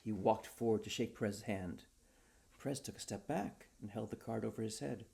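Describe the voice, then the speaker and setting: A man reading his own story aloud as a dramatic narrator, with a gravelly, husky voice. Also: lowish voice, moderate pace, warm tone. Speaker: author reading own book.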